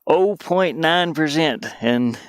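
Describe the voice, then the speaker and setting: A man chatting casually on a game livestream, rambling and thinking aloud in a halting way. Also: fairly high pitch, lively intonation, smooth, dry recording. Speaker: game streamer and indie game developer.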